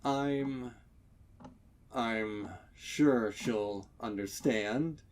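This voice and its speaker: A man's voice: medium pitch, a little mellow, a little hiss or hum. A man voicing an awkward, concerned stallion with a hesitant, shaky delivery in a story reading. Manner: hesitant, halting.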